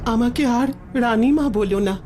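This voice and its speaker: A woman's voice: on the high side, unhurried, a little mellow, close to an everyday voice. A woman playing a sorrowful, resigned queen and mother, plaintive and melodramatic, in a fairy-tale audio story. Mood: sorrowful, shocked. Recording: music under the voice.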